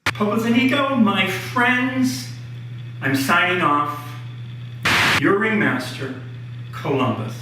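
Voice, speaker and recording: A man's voice: high voice, slow pace, long pauses, pushed and bright, full character voice. Radio drama ringmaster, played by a man: declamatory, grandiose male leader, heard distant and echoing. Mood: proud, proclaiming. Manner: declamatory, speech-making. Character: grandiose ringmaster leader addressing his public by video. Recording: very echoey, distant, music behind.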